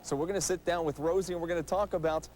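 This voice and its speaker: A man's voice: a little high, steady tone, racing, darkish tone, a little hiss or hum. A man presenting a hockey show in a polished announcer delivery, speaking to viewers and interviewing. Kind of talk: upbeat scripted TV presenting and interviewing.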